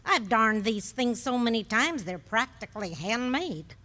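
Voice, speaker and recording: Actress as a slow, lilting, teasing wife, lively in pitch, recorded live in a reverberant hall. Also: female voice. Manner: fond, teasing, drawn-out delivery. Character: patient teasing middle-aged wife. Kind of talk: 1940s radio acting.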